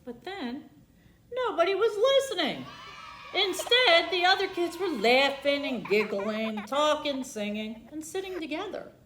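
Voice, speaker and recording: A woman narrates a children's picture book in a theatrical storytime style. Her fairly high voice rises and falls widely, leaning on the playful words. The sound is roomy and echoing. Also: very animated, a little slow, a bit bright, slight background noise. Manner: theatrical, emphasizing alliterative words. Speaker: library storytime reader.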